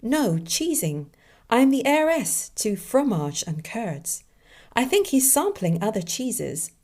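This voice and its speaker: A woman's voice: fairly high pitch, sing-song, takes it slowly, darkish tone, pitched above the performer's own voice, full character voice. A woman voicing a glamorous femme fatale heiress in a coy, sultry manner.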